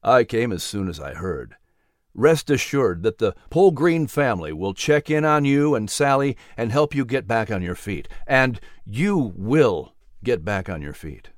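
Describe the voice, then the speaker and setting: A man voicing a calm, reassuring reverend with a lower, measured delivery in a storybook reading. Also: no echo, studio quality.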